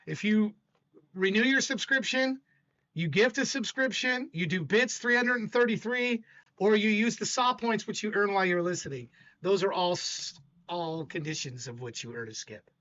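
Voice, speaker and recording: An older man hosts a livestream and talks casually to his chat. His voice is loud, bright and forceful, and he stammers as he rambles. The recording sounds dull and lo-fi. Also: high voice, normal speed. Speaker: stream host.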